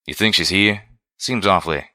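A low-voiced, folksy pegasus stallion, played by a male narrator in a storybook. He is blunt and bashful, drawling his country phrases in a forceful, dry-recorded voice. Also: moderate pace, no echo. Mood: curious, awkward.

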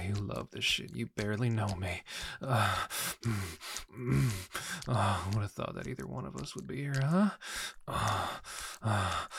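A man playing a cocky, domineering Southern US man in an intimate adult audio roleplay, with a husky, panting delivery. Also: lowish voice, fairly bright tone, a little hiss or hum. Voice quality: husky, breathy. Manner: panting, murmuring, broken by grunts.

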